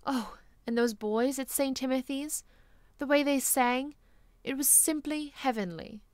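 A woman's voice: high voice, measured pace, a little mellow, no echo, studio quality. A woman playing a kindly, enthusiastic bishop's wife in a radio-style drama. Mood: delighted. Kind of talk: radio-play style acting.

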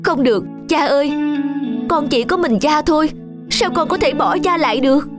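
A woman's voice: high-pitched, normal speed, music in the background, close to an everyday voice. A woman voicing a devoted young son in a cartoon folktale, speaking in an earnest, pleading, deferential way. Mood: pleading, determined.

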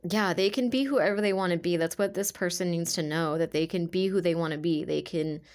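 A woman's voice: on the low side, a little fast, darkish tone. A woman giving a casual tarot reading, with a stuffy, nasal voice from a sinus infection. She talks earnestly and rambles, full of "like" and restarts. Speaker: tarot reader. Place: indoors at a table with cards on purple cloth.

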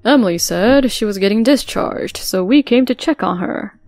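A woman's voice: medium pitch, expressive, normal speed, darkish tone, dry recording, natural voice. A stern older father-in-law scolds with open contempt, voiced by a woman storyteller. The delivery stays fairly level and dry, with light music behind.

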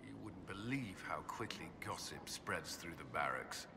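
An actor playing an earnest military commander in his thirties, bashful and halting, in a dramatic video game. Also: deep voice, lively intonation, noisy background. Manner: halting, hesitant, soft-spoken.

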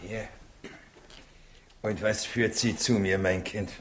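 An actor playing a frail, courteous old professor in a 1960s radio crime drama, speaking in a halting, patronizing way. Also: male voice, fairly low pitch, fairly even intonation, noisy background. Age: older.

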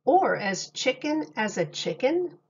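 A woman reading a picture book aloud as a teacher, with simple, deliberate phrasing and questions for young listeners. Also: sits high, very animated, breathy edge, dull audio. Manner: simple, deliberate phrasing addressed to small children.

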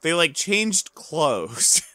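Male podcast co-host, casual and joking, wildly varied pitch, slow pace, dry close sound. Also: big pitch swings, bright, forceful tone, no room sound.

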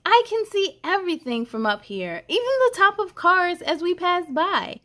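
An excited little schoolboy, as a woman reader puts him on, telling his storybook tale. The voice is high and swings widely in pitch, full of wide-eyed wonder and expression. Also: moderate pace, exaggerated voice. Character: excited young first-grade boy narrating his bus ride.

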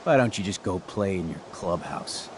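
A volatile, defensive American man in a dramatic video game. His voice is creaky and swings widely in pitch. He talks in a gruff, confrontational, foul-mouthed way, with faint noise around him. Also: lively intonation, normal speed. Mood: guarded, brooding.

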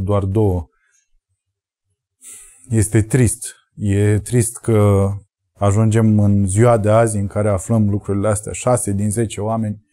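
Low, mellow man with a fading voice. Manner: halting, many fillers. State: voice going. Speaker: show host. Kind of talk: outro appeal to subscribe. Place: studio in front of red backdrop.

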